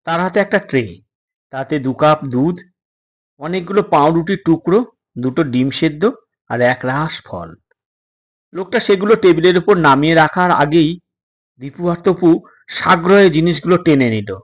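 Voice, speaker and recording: A man narrating a suspense story as an audiobook, in a measured, expressive and dramatic way. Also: fairly high pitch.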